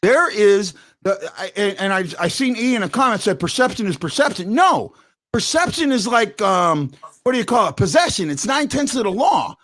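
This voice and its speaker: A man hosting a fan talk show, loud and excitable, rambling and restarting his sentences as he argues his point. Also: sits high, normal speed. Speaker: podcast host. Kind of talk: heated hockey fan call-in talk. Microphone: headphones.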